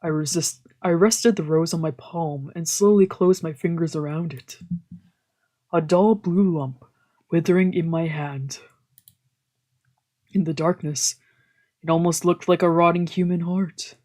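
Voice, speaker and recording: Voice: medium pitch, fairly even intonation, unhurried, pauses often, mellow. A streamer reading a visual novel aloud as storytelling narration, with casual musing asides. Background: visual novel background music.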